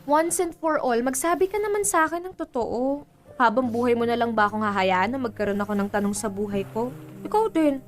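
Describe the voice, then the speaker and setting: A woman's voice: on the high side, animated, fairly quick, lightly acted. A woman playing a frank, cheerful 21-year-old daughter in a conversational radio drama, with a Filipino accent and a candid, teasing, reassuring manner. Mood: earnest, probing. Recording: music bed under the dialogue.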